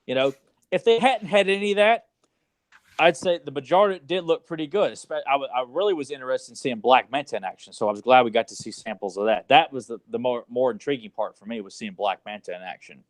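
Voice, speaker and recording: A man's voice: medium pitch, fast talker, no echo. Sarcastic man, ranting casually. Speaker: podcast co-host. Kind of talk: casual movie podcast discussion.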